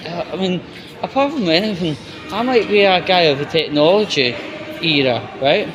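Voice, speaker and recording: A man's voice: high-pitched, expressive, moderate pace, music in the background. A man with a Scottish accent giving a blunt, sweary, casual vlog monologue while out walking. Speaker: video blogger, host. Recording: noisy outdoor walking recording, constant background noise.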